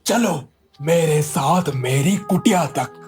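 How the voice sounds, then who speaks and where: A man's voice: fairly high pitch, expressive, takes it slowly, darkish tone, soft background music. A man playing a kindly, serene old wandering sage in a cartoon moral story, solemn and full of blessings. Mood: surprised, pleased.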